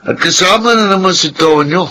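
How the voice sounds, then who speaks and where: A man's voice: high voice, moderate pace, bright, forceful tone. An actor playing a drunken, mocking village man in a radio drama, needling others with a slurred drawl. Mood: mocking, amused. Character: drunken, mocking, taunting male village drinker. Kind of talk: Filipino radio drama acting.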